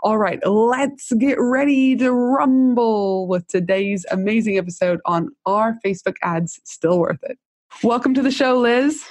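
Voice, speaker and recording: A woman's voice: fairly high pitch, a little slow, a little mellow, no echo. A woman hosts a business podcast. She gushes with enthusiasm and sounds salesy. The recording is dry and close, with no room sound. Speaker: podcast host, business coach. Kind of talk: podcast intro and interview.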